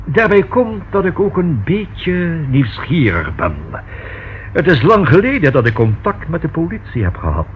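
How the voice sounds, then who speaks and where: A formal old lawyer in a radio play. His fairly high voice moves expressively through polite, precise, wordy phrases. The recording is muffled, with faint noise. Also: huge pitch swings, normal speed. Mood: courteous, measured. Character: formal, courteous old male lawyer and notary. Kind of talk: Dutch radio play acting.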